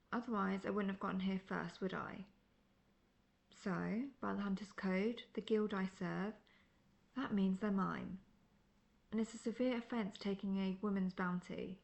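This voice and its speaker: Woman performing a world-weary female monster hunter, mid-pitched, gravelly fry, formal phrasing, dry condescension, fantasy audio drama. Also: a little mellow, natural voice. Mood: stern. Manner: measured, formal, dryly condescending. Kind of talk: fantasy roleplay audio acting.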